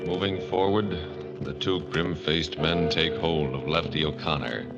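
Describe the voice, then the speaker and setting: Brief: very low-voiced male announcer, solemn, over loud music on a dull vintage recording. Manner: solemn, measured. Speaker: announcer and narrator. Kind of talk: scripted radio narration. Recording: dull old radio broadcast recording with music.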